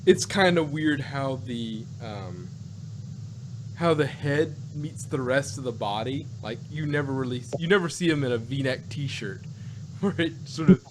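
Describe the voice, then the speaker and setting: Halting man chatting over noticeable noise. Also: medium pitch, expressive. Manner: rambling, halting, repeats and restarts phrases. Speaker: podcast co-host. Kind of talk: casual chat, reviewing sci-fi. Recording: noisy home recording with hum.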